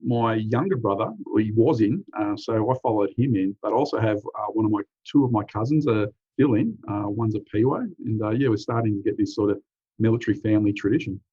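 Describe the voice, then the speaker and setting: A man in his forties with an Australian accent, an easygoing veteran telling his story in a relaxed, chatty way. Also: on the low side, fast, husky. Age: 40s. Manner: easygoing, uses "mate", frequent "um" and "you know". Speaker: military veteran guest. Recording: dry, slightly dull lo-fi recording.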